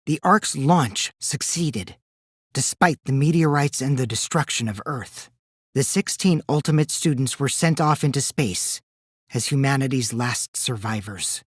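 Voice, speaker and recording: A man's voice: mid-range voice, expressive, unhurried, breathy edge, no echo, clean studio sound. A man voicing a mischievous, taunting teenage boy schemer in a video game, with a light boyish voice and a theatrical, teasing delivery. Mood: grave, explanatory. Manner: theatrical, teasing, swinging between sing-song and cold. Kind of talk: animated video game dub acting.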